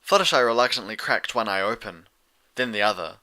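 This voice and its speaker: A man's voice: fairly low pitch, lively intonation, brisk. A man reading a story aloud as a scripted audiobook narrator.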